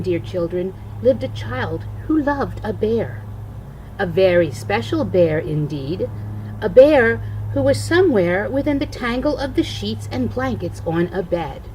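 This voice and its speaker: An older woman, a grandmother, reading a children's storybook aloud with expressive, deliberate phrasing. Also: medium pitch, a little hiss or hum, poor audio.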